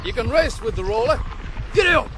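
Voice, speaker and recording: A man's voice: very high-pitched, big pitch swings, a little fast, noisy background. A man playing a blunt, grumbling farm ploughman with a hearty manner in a radio drama. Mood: rousing. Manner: hearty, swearing, calls out to horses.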